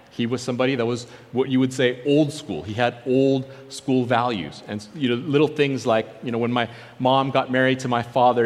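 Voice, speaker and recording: A man preaching through personal testimony, telling his story earnestly and acting out remembered conversations. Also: medium pitch. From born in South Korea. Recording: long hall reverb.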